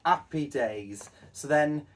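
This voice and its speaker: A young man co-hosting a casual retrospective chat, halting and often repeating his phrases as he goes. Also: fairly high pitch. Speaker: co-host, web series creator. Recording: distant from the mic.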